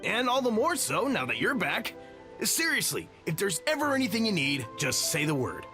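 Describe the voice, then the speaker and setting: An actor playing a friendly, loyal soldier who talks in a warm, earnest, chatty way. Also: male voice, sits high, wildly swooping pitch, moderate pace, over music.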